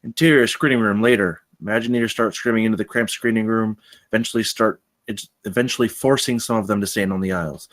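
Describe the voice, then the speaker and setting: Low-voiced man reading stage directions. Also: fairly bright tone. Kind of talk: scripted narration at a live table read.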